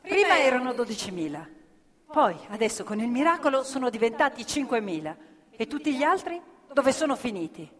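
A woman's voice: fairly high pitch, big pitch swings, brisk. A woman storytelling dramatically in radio theatre as an actor, with pointed, emphatic delivery. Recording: reverberant hall, live stage performance.

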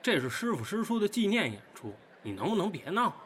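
An exasperated, high-voiced young man. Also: very animated, quick pace, a bit bright, a little hiss or hum. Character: exasperated, scolding young male stage colleague. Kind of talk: audio drama acting.

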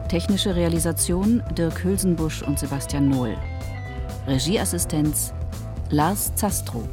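A woman's voice: low-pitched, unhurried, music in the background. A woman announcer reading scripted radio play credits.

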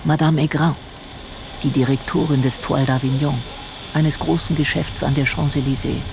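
An actress playing a sober intelligence officer who narrates in a factual, restrained report style for a radio drama. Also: female voice, low voice, fairly even intonation, normal speed, creaky, noisy background.

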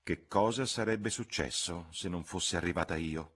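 A man's voice: low voice, moderate pace, a bit bright, slightly rough. A man voicing a fussy, reproving older spinster chaperone in an audiobook reading. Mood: insistent. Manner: mildly reproving, prim, insistent.

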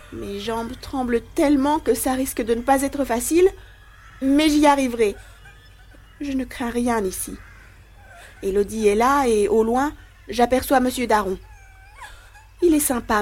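Woman doing a scared little boy in an audio drama, high voice, really slow anxious halting delivery. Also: a little mellow, breathy edge. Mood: nervous resolve. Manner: anxious, halting inner monologue. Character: terrified, ashamed young boy haunted by abuse. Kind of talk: dramatic first-person audio fiction monologue.

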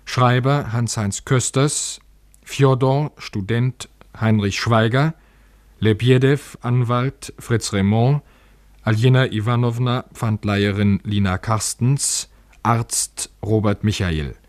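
A man announcing on radio, reading scripted credits in a formal, measured and precise manner. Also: medium pitch, no room sound.

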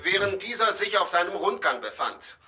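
An actor playing a formal official reciting a crime report in a radio drama. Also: male voice, high voice, very animated, normal speed, heavily acted. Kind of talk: formal scripted statement. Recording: distant from mic, loud crowd behind.

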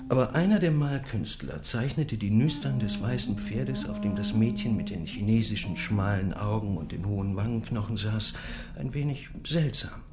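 An actor playing a proud, enigmatic Ottoman miniature painter who tells parables in a measured, deliberate way in a radio drama. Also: mid-range voice. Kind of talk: literary radio drama storytelling. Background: music.

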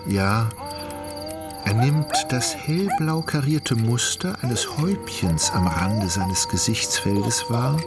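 A man narrating a literary radio play in a measured, dramatic way. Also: fairly low pitch.

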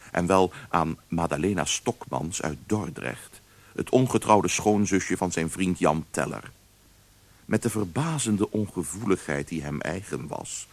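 A man's voice: low voice, creaky. A man narrating a scripted radio play as a storyteller.

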